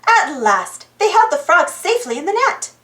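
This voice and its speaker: A woman reading a children's storybook aloud as narrator in an animated style. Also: very high-pitched, moderate pace, roomy sound.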